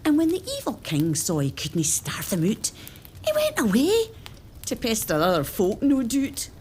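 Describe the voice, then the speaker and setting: An older Scottish nursemaid, motherly and confiding, played by a woman. She tells her tales with a lively, rising and falling voice, dropping low and hushed. Faint noise sits under the voice. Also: normal speed.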